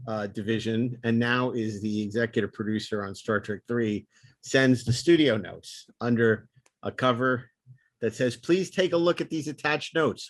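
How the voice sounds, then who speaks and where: A man with a mid-pitched voice hosts a podcast about film. He talks through background and reads from documents. The sound is close and dry, with little room around it. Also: dry recording. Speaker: podcast host. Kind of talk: film discussion with read-out studio notes.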